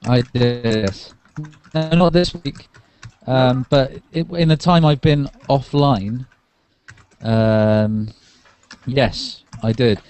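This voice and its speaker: A man's voice: medium pitch, slow, darkish tone, smooth. A man in casual tech chat as a podcast co-host, hesitant and correcting himself as he thinks aloud.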